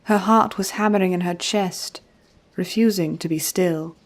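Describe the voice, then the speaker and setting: A woman's voice: lowish voice, unhurried. A woman reading a scripted story as an audiobook narrator, in a dramatic storytelling style. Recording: faint music behind narration.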